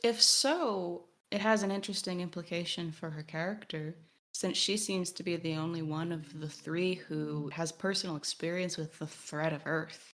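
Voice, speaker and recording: A woman hosting a podcast, speaking in measured, analytical discussion with precise, formally worded sentences. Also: fairly low pitch, a bit bright. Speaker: podcast host.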